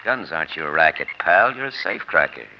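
An actor playing a cool, sardonic gangster killer with a soft spot, talking in taunting underworld slang in a 1940s radio crime drama. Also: male voice, a bass voice, lively intonation, brisk. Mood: mocking, menacing. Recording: muffled, noisy old radio recording.

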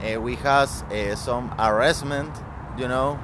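Slow halting man with Chilean accent. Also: medium pitch, background noise. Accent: Chilean Spanish. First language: Spanish. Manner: halting English with many restarts and fillers. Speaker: guest. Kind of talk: interview.

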